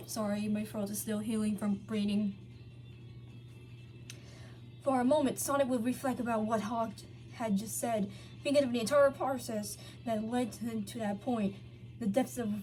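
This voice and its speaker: A woman's voice: fairly high pitch, darkish tone, low-quality recording. A woman reading fan fiction aloud as a narrator, sometimes stumbling over words and correcting herself. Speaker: story reader.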